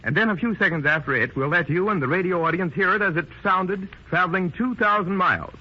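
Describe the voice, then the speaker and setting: A man's voice: high-pitched, quick pace, dull audio. A man presenting on 1930s radio as announcer and host, with a formal showman's delivery, on an old band-limited recording.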